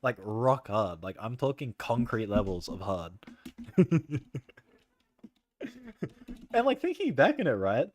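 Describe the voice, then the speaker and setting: A young man streaming and chatting loosely with viewers, rambling with lots of "like" and "bro" and frequent laughter, in a soft voice. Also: medium pitch, very animated, takes it slowly, pauses often, a little hiss or hum. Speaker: livestreamer. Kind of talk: casual chat with stream viewers.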